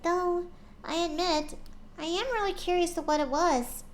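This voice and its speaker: A woman voicing a hyper, bubbly young female pony who squeals and bounces with excitement. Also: very high voice, unhurried, a little hiss or hum, pitched above the performer's own voice. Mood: eager.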